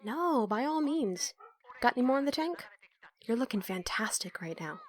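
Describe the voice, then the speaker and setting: A woman playing a sardonic, self-assured young team mastermind in an audio roleplay, with a dry, measured delivery. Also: on the high side, animated, lightly acted. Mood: sarcastic.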